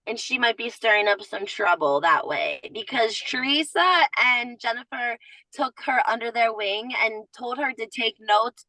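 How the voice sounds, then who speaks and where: Chatty woman w a bit of southern twang, bright voice, dry close sound. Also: mid-range voice, dry recording, rough recording. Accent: Southern US, surfaces at times. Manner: chatty, blunt opinions, lots of "like". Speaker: guest commentator. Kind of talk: casual chat.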